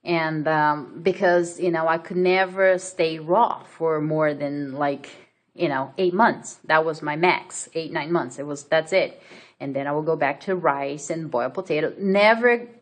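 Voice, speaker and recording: A woman's voice: low voice, a little slow. A woman from Brazil with a Brazilian Portuguese accent, telling her own story to camera in a hesitant way, full of fillers and restarts. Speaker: vlogger. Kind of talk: casual personal story to camera.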